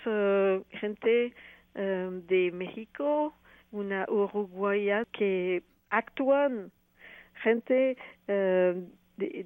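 A woman, a playwright and actress speaking Spanish as a second language, answering interview questions haltingly with many restarts. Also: medium pitch, no echo. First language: not Spanish. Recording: tinny phone line.

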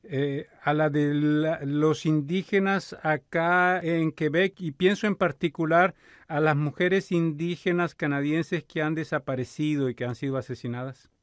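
Radio interviewer guy, slightly high voice, dry studio sound. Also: fairly even intonation, unhurried, no room sound. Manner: prompting, finishing the guest's sentences.

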